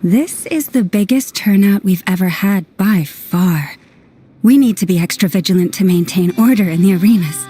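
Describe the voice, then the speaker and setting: Actress as a composed, mellow woman organiser. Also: mid-range voice, normal speed, breathy edge, close to an everyday voice. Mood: composed, earnest. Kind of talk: video game voice acting.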